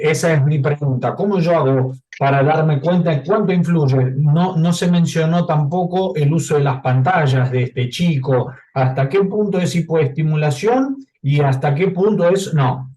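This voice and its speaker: A man speaking as a non-native, asking a question at a conference in a polite, halting way with restarts. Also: mid-range voice, a little mellow, poor audio. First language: not Spanish. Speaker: audience member asking a question.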